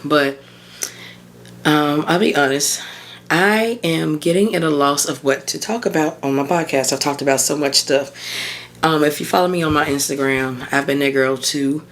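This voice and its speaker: A woman in her thirties with a hoarse voice, hosting a casual solo podcast as a mindset coach and chatting informally and encouragingly with her listeners. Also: low-pitched, normal speed. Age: 30s.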